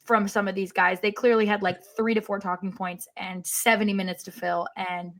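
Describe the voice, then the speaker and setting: A woman's voice: medium pitch, little pitch movement, fairly quick, a bit bright. A woman co-hosting a sports podcast, chatting casually in a wry way with playful exaggeration. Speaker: podcast co-host.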